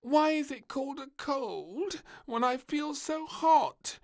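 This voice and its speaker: A man voicing an excitable, stubborn little girl princess in a read-along storybook, with a shrieky, eager, whining delivery. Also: extremely high pitch, slow pace, voice pushed up, full character voice. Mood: indignant, whining.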